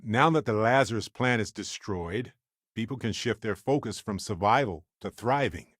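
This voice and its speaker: An actor playing a thoughtful, wry space explorer in a video game, speaking articulately and conversationally with dry wit. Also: male voice, on the low side, normal speed, fairly bright tone, no room sound. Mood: earnest, thoughtful. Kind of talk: scripted game dialogue lines.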